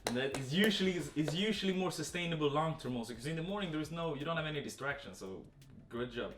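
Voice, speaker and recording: A man's voice: fairly high pitch, lively intonation, quick pace. Male fitness coach, webinar host. Casual, effusive encouragement, roomy sound, slightly off-mic. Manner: effusive, encouraging, informal hype. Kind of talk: casual group webinar hosting. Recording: roomy, distant webinar audio with faint music.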